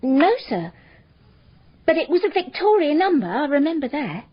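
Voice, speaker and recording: High-voiced, deferential maid. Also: female voice, very animated, moderate pace. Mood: helpful, matter-of-fact. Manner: respectful, precise, matter-of-fact. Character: deferential female maid answering a detective's questions. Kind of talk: radio drama acting. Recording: dull, muffled radio drama recording.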